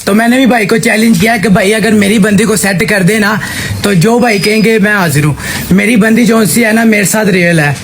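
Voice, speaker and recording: A young man with a high-pitched voice talks casually on a prank show. He speaks as a participant in everyday chat. A little noise sits behind him. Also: moderate pace. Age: young adult.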